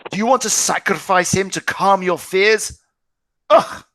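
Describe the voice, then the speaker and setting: A man's voice: fairly high pitch, expressive, a little fast, dry recording, pitched above the performer's own voice. A man reading aloud, voicing a defiant teenage boy who snaps back at his father. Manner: sharp, retorting.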